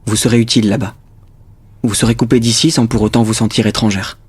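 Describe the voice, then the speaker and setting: A man acting as a grave, protective regime official in an audio drama, speaking formally in short, restrained sentences with a husky voice. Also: fairly low pitch, fairly even intonation, quick pace. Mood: earnest, reassuring. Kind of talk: intimate French radio drama acting.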